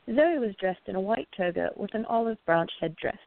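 A woman narrates a scripted radio play. Her medium-pitched voice has plenty of fry. The sound is very dry and close, with no room to it. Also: animated, moderate pace, no room sound. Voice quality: creaky. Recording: narrow phone-like, dry sound.

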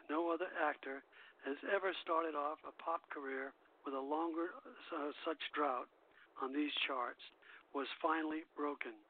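A man hosting a radio show, reading scripted narration in a somewhat halting way. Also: a little high. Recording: dull lo-fi radio recording.

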